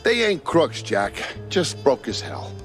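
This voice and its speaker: Tired kindly barkeep mouse, folksy slang, really up and down, music behind. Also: male voice, mid-range voice, very animated, measured pace. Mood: resigned, kindly. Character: weary, kindhearted working-class male mouse bartender. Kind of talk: noir game dialogue.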